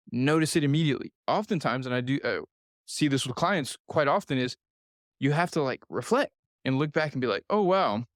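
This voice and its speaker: A man's voice: on the high side, no echo, studio quality. A man in his thirties hosting a solo nutrition podcast, talking straight to the listener in an earnest, repetitive coaching manner. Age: 30s. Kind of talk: solo podcast advice talk.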